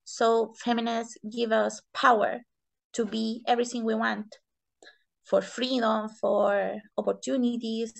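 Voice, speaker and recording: A woman from Chile with a Chilean Spanish accent, giving interview answers in halting non-native English and searching for words. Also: fairly high pitch, little pitch movement, creaky. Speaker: interview guest, economist and feminist.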